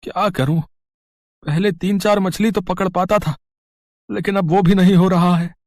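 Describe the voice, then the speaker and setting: A poor, dejected fisherman from a village story, acted by a man. His voice sits slightly high for a man and sounds plaintive and weary. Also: fairly even intonation, normal speed, darkish tone, no echo, studio quality, lightly acted.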